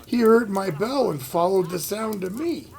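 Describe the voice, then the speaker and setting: A man in an audio play voicing a kindly, folksy, worried husband who works as a charity-bell Santa, with a gravelly voice. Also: high voice, expressive, normal speed, a little mellow, slight background noise. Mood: earnest.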